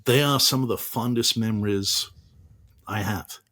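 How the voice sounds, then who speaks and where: A man's voice: fairly low pitch, unhurried, a bit bright. A man being interviewed as an author, speaking hesitantly with many restarts and fillers. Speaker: author and guest. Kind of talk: casual interview.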